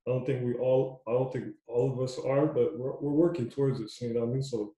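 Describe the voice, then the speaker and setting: A man's voice: medium pitch, steady tone, fast talker, dark tone, reverberant, poor audio. A young man coaching youth basketball, talking casually in a loose, repetitive way. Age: young adult. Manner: loose, repetitive, says "you know what I mean" a lot. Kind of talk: casual podcast chat.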